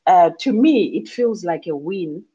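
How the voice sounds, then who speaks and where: Zimbabwean lady musician talking slow, lots of ums, kinda muffled audio. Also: fairly low pitch, animated, warm tone, breathy edge. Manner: thoughtful, many fillers and restarts, laughs often. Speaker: musician guest. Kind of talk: relaxed interview answers.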